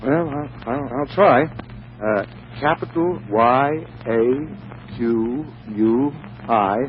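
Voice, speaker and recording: A man's voice: medium pitch, drawn-out words. An actor playing a shy, stuffy bachelor businessman, prim, formal and stammering, in 1940s radio comedy style. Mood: flustered. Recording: muffled, hissy vintage radio recording.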